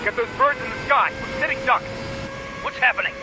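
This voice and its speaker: A man's voice: very high-pitched, animated, brisk, background noise. An actor playing an alarmed military man under attack in a video game, calling urgently over the radio. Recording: loud music over distant radio chatter. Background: loud music, aircraft.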